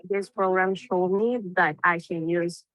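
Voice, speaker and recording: A woman's voice: on the low side, fairly even intonation, unhurried, a bit bright, dry recording, poor audio. A woman, a graduate student giving an enthusiastic testimonial in a promotional video. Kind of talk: testimonial interview.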